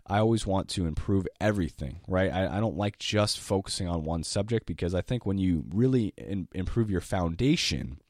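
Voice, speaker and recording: A sick man hosts his music-teaching podcast in a very deep, lowered voice. He rambles eagerly, restarts sentences and coughs now and then. The sound is dry and close, with no room around it. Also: expressive, moderate pace, few pauses, a little mellow, no room sound. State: sick, voice lower than usual. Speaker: podcast host and jazz piano teacher. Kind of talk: casual solo podcast monologue.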